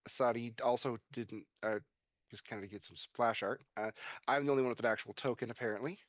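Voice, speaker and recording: A man in casual chat, setting up a virtual game map and narrating each step in a relaxed, drawn-out way. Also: medium pitch, dry recording, lo-fi sound. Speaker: tabletop game player handling the virtual map.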